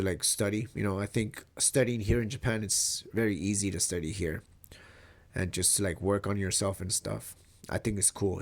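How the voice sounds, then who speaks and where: A young man from Mexico, his voice a little low and stuffed up from a cold, so it comes out nasal. He rambles in a loose, easygoing way and swears without thinking about it, like he's chatting straight into a camera. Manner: relaxed, rambling, swears casually. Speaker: vlogger. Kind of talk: casual talking-head vlog.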